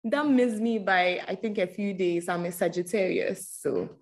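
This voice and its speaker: A woman co-hosting a podcast, playful and quick to laugh as she jokes with the guest. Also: lowish voice. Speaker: podcast co-host. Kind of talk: casual podcast banter.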